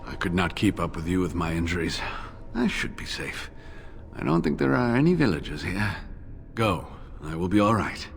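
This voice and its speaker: An actor playing a gentle, absent-minded scholar in a fantasy game, musing politely and hesitantly. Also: male voice, sits low, sing-song, moderate pace, full character voice. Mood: resigned, reassuring. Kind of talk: fantasy game dialogue acting. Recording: clear background ambience noise.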